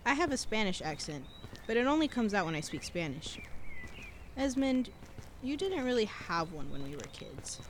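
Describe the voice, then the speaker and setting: A woman's voice: mid-range voice, sing-song, normal speed, a little hiss or hum, close to an everyday voice. An actress playing a reflective seventeen-year-old girl, talking into a tape recorder in an audio drama. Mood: chatty. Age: teens. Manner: wistful, introspective diary-style monologue. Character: reflective teenage girl on the run. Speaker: narrator and lead character.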